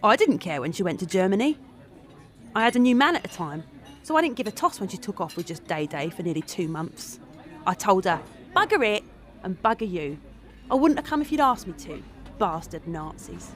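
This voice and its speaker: An actress in an audio drama playing a brash, hard-drinking, sharp-tongued woman who is blunt and indignant. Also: medium pitch, very animated, fairly quick, faint music behind, close to an everyday voice. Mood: bitter, dismissive.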